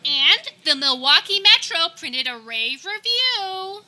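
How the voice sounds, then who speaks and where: A woman playing a cheery, gushing wife who gently chides, in a comedic radio play. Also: very high-pitched, very animated, slow, heavily acted. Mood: delighted.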